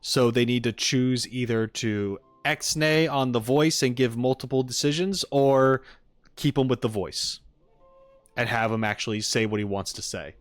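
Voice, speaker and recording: A man's voice: medium pitch, moderate pace, dry recording, soft background music. Male streamer, mid-range voice, casual and opinionated with a jokey streak, close dry microphone sound. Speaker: streamer reacting to game clips. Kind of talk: casual livestream chat.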